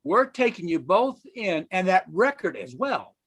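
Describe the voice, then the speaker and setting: A man playing a tough, excitable police officer in an old-time radio drama. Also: high-pitched, measured pace, slightly rough, heavily acted. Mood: firm.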